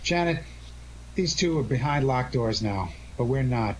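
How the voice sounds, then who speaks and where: A man's voice: mid-range voice, expressive, measured pace, a little mellow, slight background noise, close to an everyday voice, low-quality recording. A man playing a cocky, wisecracking amateur sleuth in a 1940s-style radio detective drama, breezy and tough-talking. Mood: brisk, wry.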